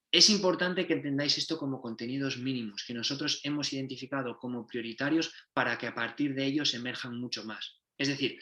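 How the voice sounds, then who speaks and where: Bright-voiced guy walking through football coaching ideas, lots of restarts, echoey room. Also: medium pitch, lo-fi sound. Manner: explanatory, restarts and self-corrections. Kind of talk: coaching methodology presentation. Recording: roomy echo.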